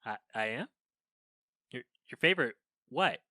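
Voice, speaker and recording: A woman's voice: very deep voice, animated, unhurried, pushed and bright, no echo, studio quality, heavily acted. A woman playing a flustered, awkward young podcaster in a modern audio drama, nervous and stammering, easily smitten. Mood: startled, confused. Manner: stammering, nervous, breaking off mid-phrase.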